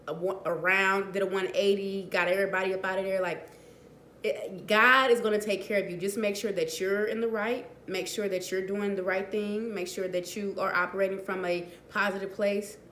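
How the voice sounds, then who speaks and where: A woman with a cold talks straight to her viewers, her voice hoarse and raspy. She speaks earnestly and encouragingly, repeating phrases to drive a point home. The room echoes around her, with a faint hiss underneath. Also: mid-range voice, little pitch movement, normal speed, a bit bright. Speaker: faith vlogger. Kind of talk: casual encouraging talk to camera.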